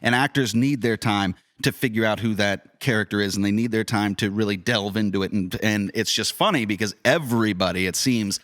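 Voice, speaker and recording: A man's voice: fairly low pitch, a little fast, few pauses, fairly bright tone. A man chatting informally as a podcast host and director. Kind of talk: casual chat.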